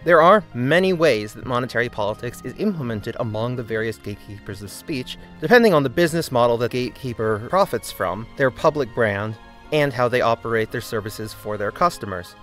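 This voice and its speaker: A man narrates a scripted essay in a measured, deliberate manner. His voice sits at an ordinary middle pitch. Soft music plays faintly underneath. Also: animated.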